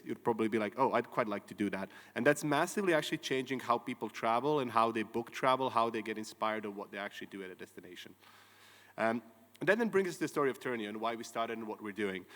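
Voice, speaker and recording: A man's voice: mid-range voice, fast, a bit bright. A man in his thirties from Croatia, a company founder giving an earnest conference talk with many "uh"s and restarts. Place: large conference hall. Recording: long hall reverb.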